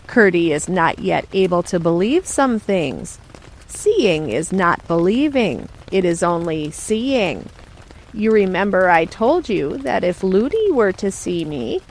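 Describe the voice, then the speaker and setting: A woman voicing a patient, wise grandmother in a gentle, measured, soothing manner. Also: medium pitch, heavily acted, poor audio.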